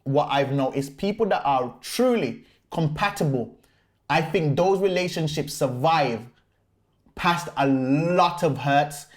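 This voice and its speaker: A young British man co-hosting a casual talk show, jokey and animated, laughing often as he talks. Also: on the high side, takes it slowly. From United Kingdom. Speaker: co-host. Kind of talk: casual chat.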